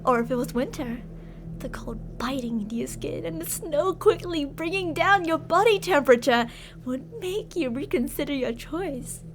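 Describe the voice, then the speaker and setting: A woman's voice: sits high, lively intonation, normal speed, background noise. A woman playing an obsessive yandere captor in an ASMR roleplay, sugary with endearments yet menacing and theatrical. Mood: softly menacing.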